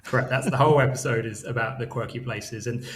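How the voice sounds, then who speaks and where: A middle-aged man with an English accent, a travel blogger guest chatting casually about what to see. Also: medium pitch. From United Kingdom. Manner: talks fast, chatty, light laughs, lists sights. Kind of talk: casual interview.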